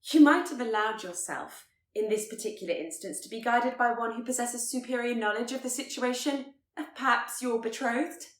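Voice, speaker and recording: A woman voicing a headstrong, sharp-tongued young gentlewoman in a period romance audiobook, formal and pointed. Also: on the high side, normal speed, few pauses, roomy sound, pitched above the performer's own voice, lightly acted. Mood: indignant, sarcastic.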